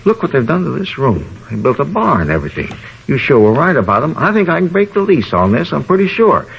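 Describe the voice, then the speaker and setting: An actor playing a fussy, nosy, indignant landlord in an old-time radio detective drama, rambling on with folksy grammar. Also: male voice, mid-range voice, sing-song, fast, vocal fry. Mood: outraged, excited. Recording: dull, noisy old radio recording.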